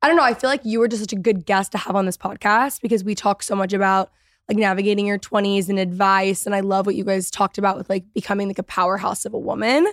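A woman's voice: mid-range voice, fairly even intonation, fast, dry recording, studio quality. A woman in her twenties hosting a podcast in a casual, chatty, gushing and enthusiastic way. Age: 20s. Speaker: podcast host. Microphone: close podcast microphone.

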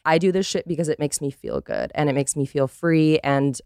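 Podcast guest, woman, clear smooth voice, dry close sound, candid and emphatic, swears freely. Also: low-pitched, wildly swooping pitch, moderate pace, darkish tone, no room sound. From Costa Rica. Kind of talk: candid casual conversation.

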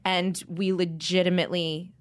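A woman's voice: lowish voice, little pitch movement, moderate pace. A woman in her thirties hosting a podcast in casual chat, gushing, swearing freely and laughing often. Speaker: podcast host.

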